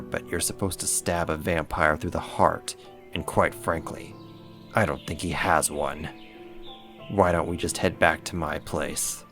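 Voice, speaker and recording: A man acts out a big, boastful male werewolf for a roleplay audio drama. His voice is very low and gravelly, with a smug, flirty, possessive swagger. Faint music plays underneath. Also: fairly bright tone, full character voice.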